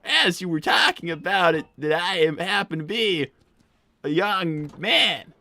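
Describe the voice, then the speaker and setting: Performer doing a bright, stammering young boy fox. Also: medium pitch, expressive, a little slow, no room sound, studio quality. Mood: awkward, bashful. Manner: stammering, hesitant, waffling. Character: bashful, evasive, boyish young male fox. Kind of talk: improvised live character roleplay.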